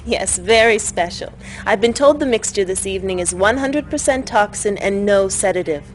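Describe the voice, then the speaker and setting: A woman's voice: medium pitch, animated, normal speed, lightly acted, rough recording. Woman acting a gleeful propaganda news anchor woman. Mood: eager, gloating. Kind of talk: scripted broadcast acting.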